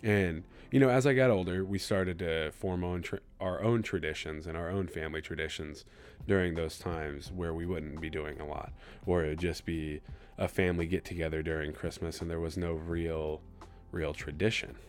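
A man's voice: deep voice, fairly even intonation, normal speed, soft background music. A young man from Kansas City in his twenties, hosting a solo podcast in an earnest, reflective, loosely rambling way. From Kansas City, USA. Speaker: podcast host.

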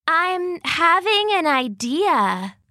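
A woman voicing Goldie, a dim but eager female bear who talks slowly and halts over her words. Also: very high-pitched, very animated, sharp tone, dry recording, clean studio sound, pitched above the performer's own voice, exaggerated voice. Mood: struggling. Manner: halting, slow, full of fillers.

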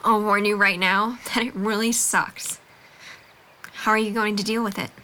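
A woman's voice: mid-range voice, steady tone, moderate pace, bright, forceful tone, a little hiss or hum, lightly acted. A woman playing a frank, wounded but caring young woman in an audio drama, speaking directly and plainly. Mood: blunt, concerned. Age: young adult.

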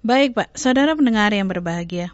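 A woman language researcher speaking as a guest on an educational radio talk, explaining at length with frequent pauses and self-corrections. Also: medium pitch, animated, no room sound. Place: radio studio. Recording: dull lo-fi radio studio.

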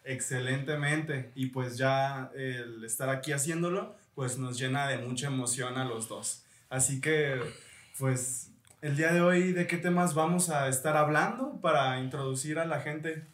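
A man's voice: medium pitch, unhurried, fairly bright tone. A man with a mid-range voice hosts a podcast in a friendly, chatty way and fills his talk with casual "pues" and "este". The room sounds noticeably roomy and echoey around him. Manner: friendly, conversational, uses fillers like "pues" and "este". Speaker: podcast host.

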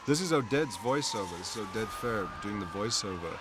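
A man's voice: on the low side, lively intonation, brisk, noisy background. A man, an actor in the film, chatting casually and jokingly while commenting on it. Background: film soundtrack music.

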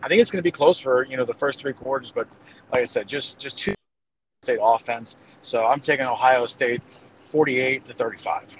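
A man with a medium-pitched voice talks through football predictions as a guest analyst. He is casual and hesitant, working out his thoughts aloud. The sound is slightly muffled and lo-fi, with faint noise in the background. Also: moderate pace. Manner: hesitant, thinking aloud as he goes. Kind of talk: casual game-prediction commentary.

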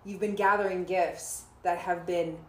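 A woman's voice: on the low side, fairly even intonation, unhurried, roomy sound, slight background noise, low-quality recording. A woman hosting a conversation, telling a reflective story and thanking her guests. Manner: storytelling, reflective, laughs often. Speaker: host. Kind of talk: warm conversational podcast chat.

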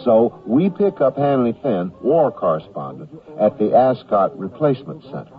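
A male storyteller narrates a scripted radio drama with very lively intonation. His mid-range voice comes through a thin old radio recording, with faint music behind. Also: mid-range voice, normal speed, phone-quality sound.

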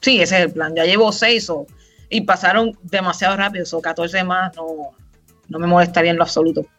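A woman's voice: fairly low pitch, brisk. A Puerto Rican woman in her thirties, a podcast guest chatting casually and at length, with many fillers. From Puerto Rico. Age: 30s. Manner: chatty and relaxed, rambling with fillers. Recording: slightly muffled, faint music behind.

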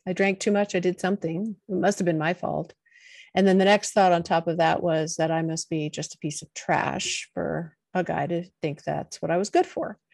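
Middle-aged woman, soft-spoken and earnest, lowish voice, dry booth sound. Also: fairly even intonation, normal speed, creaky, no echo. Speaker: podcast guest, confidence coach. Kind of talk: personal storytelling in an interview.

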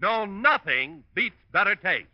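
A man's voice: sits high, big pitch swings, unhurried, heavy background noise. A man announcing and reading a scripted commercial in 1950s radio style. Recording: dull lo-fi vintage radio recording.

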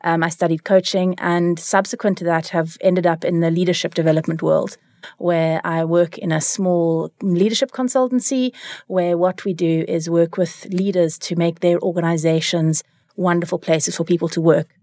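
A woman's voice: on the low side, steady tone, normal speed, warm tone, no echo. A South African woman telling her own story as an interview guest, reflective and articulate. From Cape Town, South Africa. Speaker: interview guest, leadership consultant. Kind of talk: conversational personal storytelling. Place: recording studio.

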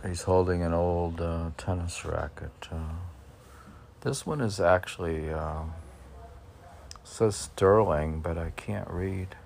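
A man informally reading his poems and commenting on them in a halting, musing way, as if talking to himself. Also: low voice, gravelly, darkish tone, slight background noise. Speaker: poet reading and commenting on own poems for a podcast. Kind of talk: informal poetry reading with rambling self-reflective commentary.

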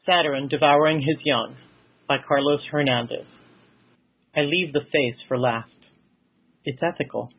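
A man giving a dramatic poetry recitation with deliberate, theatrical phrasing. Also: a little high, fairly even intonation. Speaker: poetry reader. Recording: husky, muffled phone-like audio.

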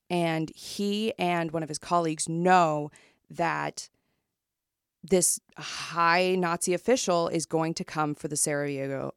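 A woman's voice: fairly low pitch, creaky, clear, resonant tone, dry recording, clean studio sound. A woman co-hosting a casual book podcast, retelling a true story with lots of fillers and restarts. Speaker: podcast co-host.

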